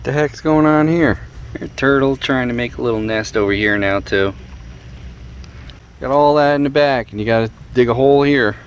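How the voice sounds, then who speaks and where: A man's voice: medium pitch, normal speed, clean tone. A man giving casual, folksy vlog commentary on home repairs in short offhand remarks, recorded on a handheld camera. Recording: noisy handheld camera audio, background hum.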